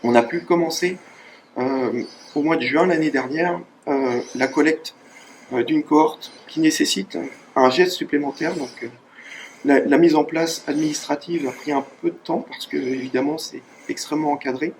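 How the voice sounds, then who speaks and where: A man, a cancer researcher, giving a halting speech of thanks at a charity event, with many pauses and fillers. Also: fairly high pitch, a little hiss or hum, rough recording. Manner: hesitant, many fillers and restarts.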